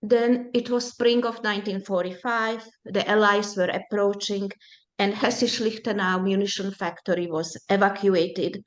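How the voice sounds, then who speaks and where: A woman in her seventies whose first language is Hungarian, giving a slow, deliberate personal testimony as a presenter at a support group. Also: mid-range voice, steady tone. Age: 70s.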